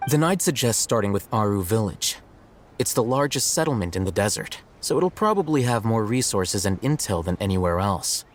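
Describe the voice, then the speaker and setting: An actor playing an aloof, analytical young male scholar in a video game, speaking in a measured, formal, dryly probing way. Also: fairly low pitch, very animated, a little hiss or hum. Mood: matter-of-fact. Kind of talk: video game cutscene acting.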